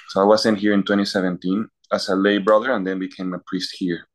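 A man's voice: deep voice, little pitch movement, brisk. A man from Peru, a university chaplain priest answering interview questions in a thoughtful, example-filled way. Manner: thoughtful, listing examples, clears throat.

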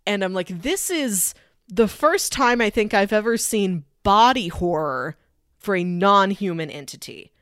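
A woman's voice: medium pitch, expressive, a little slow, fairly bright tone, clear, resonant tone, dry recording, studio quality. A woman co-hosting a film podcast, talking casually in a chatty, analytical way. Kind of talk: casual movie discussion.

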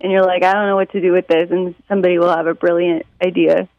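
An American woman from Detroit, a musician, answering interview questions in a casual, animated storytelling way with a creaky voice. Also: lowish voice, fast talker, dry recording, lo-fi sound. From Detroit, United States. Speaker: musician and songwriter being interviewed.

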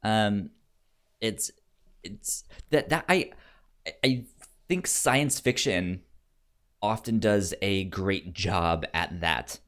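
Slow talking guy w a fairly low voice, stutters over words, chatting movies on a podcast. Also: lively intonation, fairly bright tone. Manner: halting, with stuttered repeats of sounds and words. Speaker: film podcast co-host. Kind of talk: casual film discussion.

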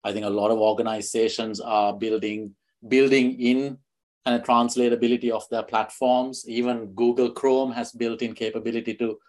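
A man's voice: medium pitch, flat delivery, moderate pace, darkish tone. A man from Sri Lanka, a guest on a podcast, answering questions with many restarts and fillers. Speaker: guest, fintech executive.

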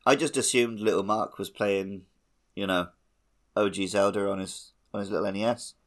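Low-voiced man in casual discussion. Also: fairly even intonation, normal speed. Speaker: podcast co-host.

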